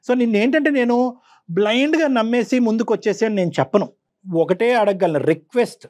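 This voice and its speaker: Fast-talking middle-aged man, fairly high voice. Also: darkish tone. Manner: measured, explanatory, mixes English words into advice. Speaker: psychiatrist counsellor on a show. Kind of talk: counselling on a family dispute show.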